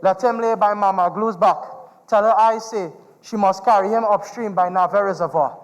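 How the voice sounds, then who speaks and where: An old guardian spirit of the forest, played by a male actor, speaks high and solemn with weary command. It is a live stage play, echoing through a noisy hall. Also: normal speed, close to an everyday voice. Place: theatre stage in a large venue. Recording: echoing hall, clear background noise.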